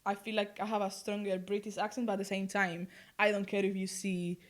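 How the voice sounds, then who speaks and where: A woman's voice: medium pitch, flat delivery, fairly quick. A young woman from Spain with a Spanish accent, co-hosting a podcast and chatting casually with lots of fillers. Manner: chatty, laughing, many fillers. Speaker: podcast co-host. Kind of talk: casual chat. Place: London.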